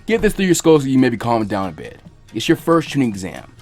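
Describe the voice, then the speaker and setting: A man's voice: medium pitch, sing-song, a little fast, faint music behind. A man voicing an easygoing older male ninja mentor with a lazy drawl. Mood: laid-back. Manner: lazy, laid-back drawl.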